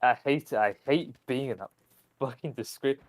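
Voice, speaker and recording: A man's voice: medium pitch, moderate pace. A young man with a Scottish accent, a university student chatting on a live stream, ranting and swearing as he tells stories. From Scotland. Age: young adult. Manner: ranting, profane, animated storytelling. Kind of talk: casual live-stream chat.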